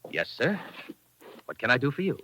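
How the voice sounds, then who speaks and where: An actor playing a polite, deferential hotel desk clerk with a courteous, formal manner in an old radio drama. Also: male voice, mid-range voice, sing-song, normal speed, pushed and bright, no echo. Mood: helpful, courteous. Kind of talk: 1950s radio acting. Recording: faintly noisy vintage radio recording.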